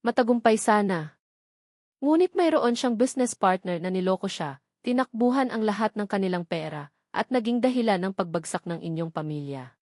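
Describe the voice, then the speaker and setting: A woman's voice: medium pitch, expressive, normal speed, darkish tone, no echo, studio quality, natural voice. A woman voicing a taunting, triumphant villainess in a dramatic romance story, mocking and cruel. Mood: cruel, gloating.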